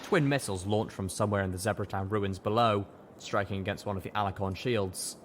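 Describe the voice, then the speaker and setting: A man reading first-person fiction aloud with steady, dramatic storytelling. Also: fairly low pitch, brisk, noisy background. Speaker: audiobook reader.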